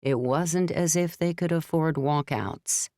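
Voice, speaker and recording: A woman reading scripted narration for a novel in a measured storytelling manner. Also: deep voice, dark tone, dry recording, clean studio sound. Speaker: audiobook narrator.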